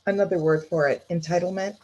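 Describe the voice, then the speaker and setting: A woman's voice: on the low side, steady tone, fast talker, a little mellow, highs cut off, natural voice. A woman reading the part of an exasperated, curt fifty-year-old female TV showrunner in a comedy table read.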